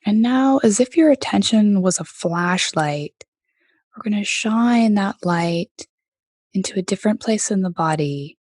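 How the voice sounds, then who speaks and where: A woman leading a guided meditation as teacher, speaking gently in a soft voice with many pauses. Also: medium pitch, lively intonation, no room sound, studio quality. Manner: gentle, unhurried, pausing often between phrases.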